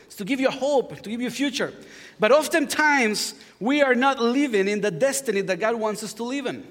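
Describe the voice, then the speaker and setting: A man's voice: very high-pitched, expressive, a little fast. A man in his forties from Honduras with a Honduran Spanish accent, preaching a sermon as pastor, joking about himself and building to fervent exhortation. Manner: warm self-deprecating humor, rising to fervent preaching. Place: church sanctuary. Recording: long hall reverb.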